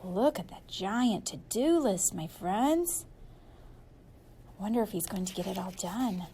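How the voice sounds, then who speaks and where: Female storybook reader, very lively intonation, slow and playful delivery for young listeners. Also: medium pitch, slight background noise. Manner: animated, playful, drawn-out emphasis. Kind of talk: expressive children's story read-aloud.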